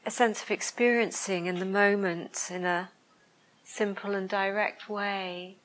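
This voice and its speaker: A woman with a soft voice giving a reflective meditation talk to a group, speaking slowly and pausing often to search for the right words. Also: mid-range voice, steady tone, low-quality recording. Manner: slow, hesitant, searching for words. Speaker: meditation teacher. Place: room with a listening group.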